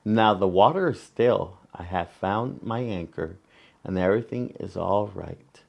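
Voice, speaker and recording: A man reading a picture book aloud to children as a storyteller, gently and deliberately, pausing between phrases and adding small asides. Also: on the low side, creaky, lo-fi sound.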